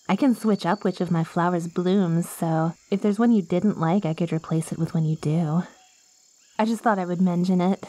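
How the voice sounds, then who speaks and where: A woman acts out a possessive monster girl made of living plant, lonely and sweetly coaxing. She speaks slowly and theatrically in an ASMR roleplay, teasing the listener, on a very dry, close recording. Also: lowish voice, warm tone, no echo. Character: lonely, possessive yandere plant girl, ancient yet girlish.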